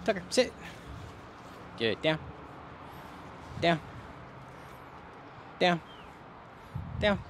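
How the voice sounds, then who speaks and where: A man's voice: a little high, big pitch swings, extremely slow, pauses often, a bit bright, a little hiss or hum. A man working as a dog trainer, talking casually and informally with wry asides while handling a dog outside. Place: outdoors in a narrow fenced turf yard.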